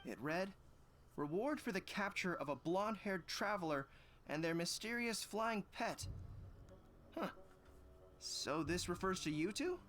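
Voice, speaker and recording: Composed, formal army general. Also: male voice, high-pitched, lively intonation, a bit bright, slight background noise. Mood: courteous. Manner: measured, formal, authoritative.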